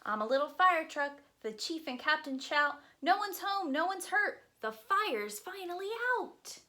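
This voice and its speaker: Woman playing eager little fire truck, children's storybook, bright high voice, emphatic sing-song rhyming, proud. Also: expressive, unhurried, exaggerated voice. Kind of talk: children's picture-book reading.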